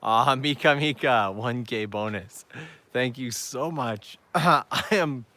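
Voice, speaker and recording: A man hosting a live travel stream outdoors, chatting upbeat to viewers while walking, his voice going hoarse. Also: medium pitch, slow, bright, forceful tone. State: losing his voice. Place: outdoors on town backstreets at evening.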